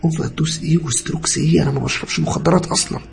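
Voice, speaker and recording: A man's voice: on the low side, lively intonation, rapid-fire, darkish tone, a little hiss or hum, lightly acted. A man voicing a confused, indignant young patient, questioning and insistent, in an Egyptian Arabic audio story. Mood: bewildered. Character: bewildered, indignant young male patient, horror-story hero.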